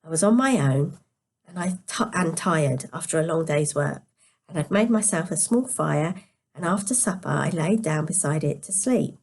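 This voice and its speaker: Woman, storyteller, somewhat low pitch, quiet, sleepy, scripted narration, careful reading. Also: lively intonation, normal speed, gravelly, a little hiss or hum. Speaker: storyteller reading a novel aloud.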